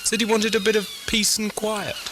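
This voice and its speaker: An actor playing a flippant, dismissive traveller, breezy and sarcastic, in an audio drama. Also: male voice, sits high, level tone, moderate pace, heavy background noise, close to an everyday voice. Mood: breezy scepticism.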